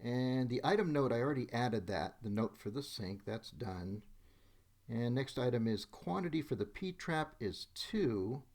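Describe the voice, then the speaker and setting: A man's voice: mid-range voice, expressive, measured pace, a little mellow. A man giving a step-by-step screen tutorial as a demonstrator, methodically announcing each action as he goes. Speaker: software demonstrator. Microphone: voice-over on a screen recording.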